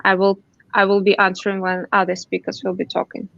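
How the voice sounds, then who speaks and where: A woman's voice: mid-range voice, completely flat delivery, creaky, a bit bright, no room sound. Earnest woman giving job-search tips. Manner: earnest, halting with restarts and fillers. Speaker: webinar panelist. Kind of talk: slide presentation. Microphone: computer mic on a video call. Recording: dull dry video call audio.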